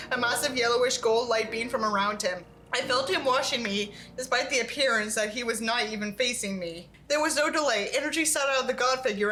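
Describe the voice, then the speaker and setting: A woman's voice: on the high side, sharp tone. A woman reading a novel aloud in a theatrical, sarcastic way, as a reviewer mocking it. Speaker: YouTube book reviewer.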